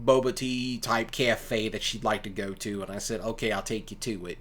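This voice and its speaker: A man's voice: on the low side, flat delivery, moderate pace, fairly bright tone. A man giving casual, rambling commentary in a folksy, colloquial way, like a streamer talking to listeners. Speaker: streamer and ASMR audio creator.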